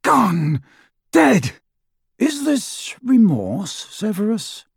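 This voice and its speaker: Audiobook role: cool, grave old wizard man performing, reproving yet composed, breathy-rough voice in a dry booth. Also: fairly high pitch, big pitch swings, no room sound, pitched above the performer's own voice, full character voice. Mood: cutting. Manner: measured, cool, gently reproving. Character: calm, stern, wise old male headmaster wizard.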